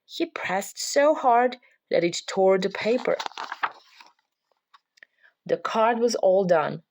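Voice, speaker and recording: A woman's voice: medium pitch, lively intonation, takes it slowly, background noise. A woman reading a children's picture book aloud as a parent would, in scripted storybook narration.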